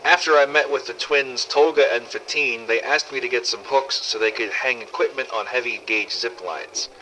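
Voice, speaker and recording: A man's voice: mid-range voice, normal speed, pushed and bright, slight background noise, natural voice, rough recording. A man playing a wry, weary apocalypse survivor who narrates an audio diary in an exasperated, sweary way. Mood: matter-of-fact. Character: sardonic, weary male survivor runner in a zombie apocalypse. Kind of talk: in-character audio diary narration, fan-made game audio logs.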